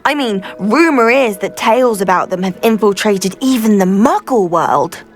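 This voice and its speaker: A woman's voice: mid-range voice, expressive, moderate pace, faint music behind, lightly acted. A woman playing an eager, idealistic, effusive teenage schoolgirl witch with a British accent in a video game. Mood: passionate. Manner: effusive, earnest, well-spoken. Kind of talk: video game dialogue acting.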